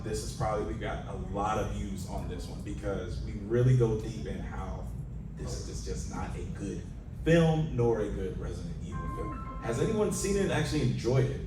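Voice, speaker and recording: A man hosting a casual panel discussion, speaking in a blunt, opinionated way. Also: fairly low pitch. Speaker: panel host. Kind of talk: casual convention panel talk about game movies. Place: convention panel room with audience. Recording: echoey hall, distant mic, crowd noise.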